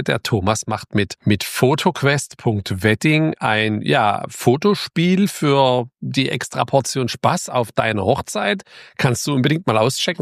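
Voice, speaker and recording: A man's voice: mid-range voice, creaky, no echo, studio quality. A man hosting a podcast, opening the episode and interviewing his guest in a casual, informal way. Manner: chatty, informal, with frequent restarts. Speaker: podcast host.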